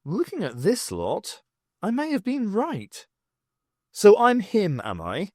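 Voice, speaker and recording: Man voicing a sharp, insistent woman, pitched up. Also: huge pitch swings, slow pace, no room sound, clean studio sound, full character voice. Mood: sceptical. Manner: emphatic, questioning.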